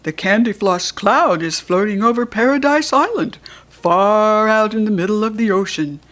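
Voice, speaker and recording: A woman's voice: medium pitch, normal speed, fairly bright tone, close to an everyday voice. A woman with an American accent voicing a friendly, wise male seahorse who calls out cheerfully in a storybook reading. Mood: helpful. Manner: calling out cheerfully from afar.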